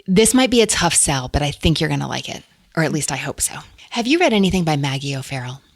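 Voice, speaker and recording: A woman's voice: low-pitched, very animated, quick pace, no echo, studio quality. A woman hosting a book podcast, talking casually and enthusiastically about books and laughing often. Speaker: podcast host.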